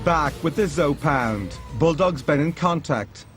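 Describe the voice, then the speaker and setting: A fairly high-voiced man reads scripted documentary narration in the first person, steady and measured. A prominent music score plays under his studio voice-over. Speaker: documentary presenter.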